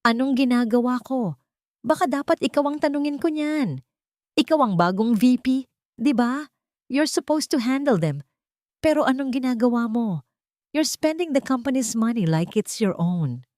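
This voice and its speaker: Woman voicing a resentful, confrontational man, raised pitch. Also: animated, normal speed, long pauses, a little mellow, no room sound, clean studio sound, natural voice. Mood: angry accusing. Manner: confrontational, accusing. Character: resentful, domineering man quarrelling with his partner.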